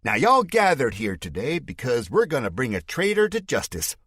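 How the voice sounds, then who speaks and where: A man voicing an unstable male militia leader with a fake southern drawl, making loud, theatrical speeches to a crowd. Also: mid-range voice, huge pitch swings, moderate pace, slightly rough, dry recording, clean studio sound, pitched above the performer's own voice, heavily acted. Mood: rousing.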